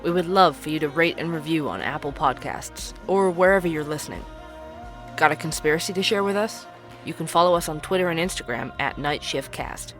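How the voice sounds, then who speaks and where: An actor playing a male podcast host who investigates cold cases, speaking in a calm, measured, explanatory way. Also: on the high side, faint music behind, lightly acted.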